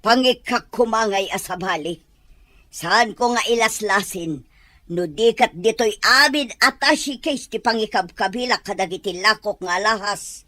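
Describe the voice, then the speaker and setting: A woman with a Filipino accent playing an accusing, sharp-tongued wealthy employer in an Ilocano audio drama. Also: medium pitch, normal speed, no room sound, close to an everyday voice. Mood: suspicious, indignant.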